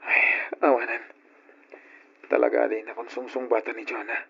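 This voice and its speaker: A man's voice: medium pitch, flat delivery, normal speed, vocal fry, bright, forceful tone. A man playing a lovesick, worried young nephew working abroad in an old radio drama, with a plaintive Filipino accent. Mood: despondent. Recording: dull old radio recording, music behind.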